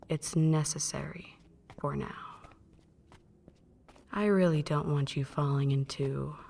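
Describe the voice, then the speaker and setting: Slow, low, whispery vampire mistress, acted by a woman. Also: darkish tone. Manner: slow, breathy delivery slipping often into whispers. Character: possessive, menacing vampire mistress, teasing and manipulative. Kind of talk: intimate ASMR roleplay monologue.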